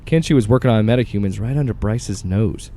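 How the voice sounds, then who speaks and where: An actor playing a gruff, determined agency director in an audio drama, talking bluntly and casually with some hesitant word-searching. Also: male voice, lowish voice, a little fast, warm tone, a little hiss or hum, close to an everyday voice. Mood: urgent, flustered.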